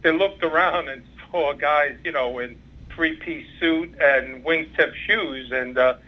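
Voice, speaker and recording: A man's voice: a little high, measured pace. Cuban-born man on a muffled phone line. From Cuba. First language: Spanish. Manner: rambling, many fillers and restarts. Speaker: former undercover FBI agent, guest. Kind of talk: interview, recounting career.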